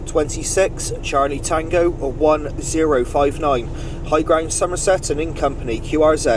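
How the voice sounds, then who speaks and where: A man running a CB radio net, making procedural call-outs and chatting courteously with other operators. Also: on the high side. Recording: noisy vehicle CB radio setup, distant mic.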